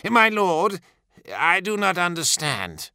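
A man's voice: high-pitched, very animated, takes it slowly, gravelly, sharp tone, smooth, no echo, clean studio sound, voice pushed up, exaggerated voice. A man voicing a frightened, pleading warrior commander in an audiobook, with a strained, faltering delivery. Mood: anxious confusion. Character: cowed, pleading male warrior commander, anxious subordinate. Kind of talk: dramatic audiobook character voice.

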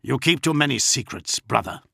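A man's voice: mid-range voice, animated, creaky, pushed and bright, slightly rough, no echo, studio quality, voice pushed up, heavily acted. A man doing a weary, firm commander. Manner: measured, firm. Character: weary, reasoning male commander.

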